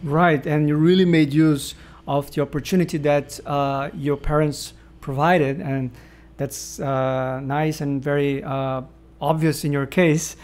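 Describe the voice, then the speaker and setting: A man's voice: on the high side, fairly even intonation, measured pace, darkish tone. A man hosting an interview, reading a formal guest introduction and then asking friendly, encouraging questions. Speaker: interview host. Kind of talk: podcast interview.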